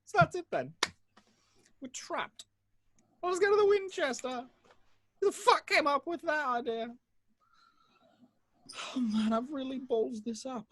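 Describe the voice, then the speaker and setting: A man playing a defeated, self-blaming young man under zombie siege in a table read, halting and exasperated. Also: very high-pitched, animated, a bit bright, exaggerated voice. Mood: despairing.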